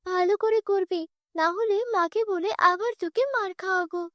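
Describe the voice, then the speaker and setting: A woman's voice: very high-pitched, steady tone, normal speed, a bit bright, dry recording, studio quality, pitched above the performer's own voice. A woman voicing a spoiled, spiteful young stepsister in a cartoon, bossy and whining.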